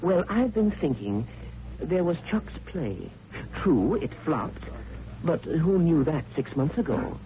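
A cynical newsroom hack in a 1940s radio drama. His fairly high voice bounces around as he needles and insinuates. The recording is dull and old. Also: very animated, slow, mellow. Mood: needling, suspicious. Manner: wry, insinuating. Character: needling, cynical male newspaper rewrite man. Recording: muffled vintage radio recording.

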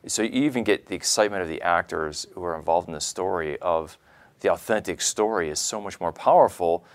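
A man's voice: sits low, a little fast. A man hosting a podcast, talking conversationally with his guest in an interview. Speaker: podcast host.

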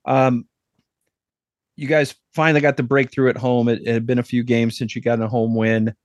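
A man's voice: mid-range voice, flat delivery, normal speed, no echo, studio quality. A man hosting a podcast, interviewing a guest and chatting with his co-host in an upbeat, chatty way.

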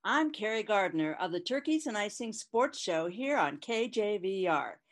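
A woman's voice: medium pitch, big pitch swings, normal speed, faint music behind, natural voice. A woman playing a peppy radio sports presenter reading a scripted promo. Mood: upbeat promotion.

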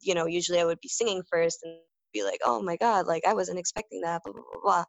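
A woman's voice: fairly low pitch, steady tone, fast talker, vocal fry, no room sound. A young woman vocalist answering interview questions in a casual, rambling way, full of fillers and restarts. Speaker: band vocalist.

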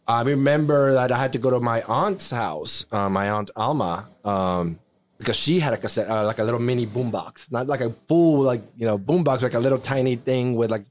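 A man in his forties from Mexico with a Mexican accent, telling his life story casually on a podcast with many restarts. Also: medium pitch. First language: Spanish. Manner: rambling, many restarts and fillers. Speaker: podcast co-host. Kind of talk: casual chat, personal storytelling.

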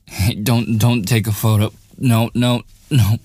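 A man's voice: lowish voice, little pitch movement, unhurried, dark tone. A man playing a cocky young student bully who sneers and gets flustered, in a boyfriend ASMR audio roleplay. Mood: flustered, threatening. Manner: sarcastic, taunting, hesitant stammering under pressure.

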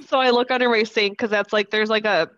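A woman's voice: mid-range voice, steady tone, fast talker, a bit bright, no room sound. Stuffy nosed woman cohost, kinda dull lo-fi sound, dry, laughy casual chat. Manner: laughs often, casual, swears. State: congested, stuffy nose. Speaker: podcast co-host.

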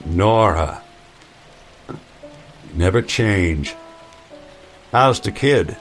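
An actor playing a weary, wry old android detective in a noir radio drama. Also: male voice, on the low side, animated, soft background music. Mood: fond, wry. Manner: wry, slow, world-weary.

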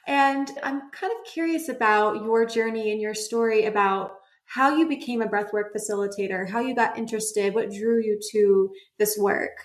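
A woman's voice: mid-range voice, normal speed, few pauses. Attentive woman host, echoey room. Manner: attentive, brief affirmations. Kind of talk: interview hosting.

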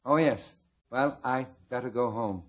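A man's voice: mid-range voice, unhurried, noisy background, close to an everyday voice. An actor playing a mild, absent-minded old grandfather in a radio comedy, speaking in a vague, bluntly matter-of-fact way. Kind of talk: 1940s-50s radio comedy acting. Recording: muffled, lo-fi old radio recording.

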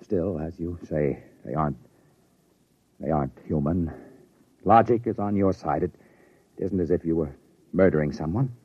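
Deep, husky, solemn spaceship captain. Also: male voice, normal speed, pauses often, no room sound. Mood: grave resignation. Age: middle-aged. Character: grave, conscience-stricken spaceship captain, no longer young. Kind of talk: 1950s radio drama acting. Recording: muffled vintage radio recording, faint music.

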